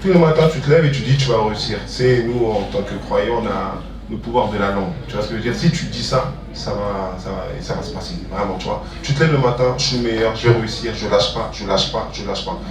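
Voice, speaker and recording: A man's voice: lowish voice, fast talker, few pauses, crowd noise. A man speaking informally as a guest at a public talk, using slang and repeating phrases for emphasis. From Ivory Coast. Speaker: music producer and entrepreneur, guest speaker. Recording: roomy hall, distant mic, audience murmur.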